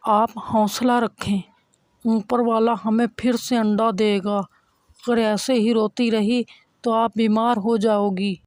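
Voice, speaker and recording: Cartoon father bird, voiced by a woman: gentle, earnest, slightly high, slow, closely dry. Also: flat delivery, dry recording. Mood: consoling. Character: gentle, caring father bird, consoling husband. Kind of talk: Hindi children's moral cartoon acting.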